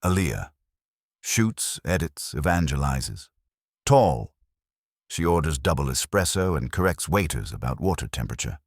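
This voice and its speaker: A man's voice: very deep voice, very animated, a little slow, no echo, studio quality, heavily acted. A man voicing a terse, observant private operative in a detective audiobook, clipped and matter-of-fact.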